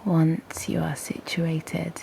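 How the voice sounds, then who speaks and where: Slow, low, half-whispering woman guiding meditation. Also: monotone, background noise. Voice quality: soft, breathy, half-whispered. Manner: gentle, unhurried, with long pauses. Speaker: host and guided breathwork meditation teacher. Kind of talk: guided meditation, slow and soothing.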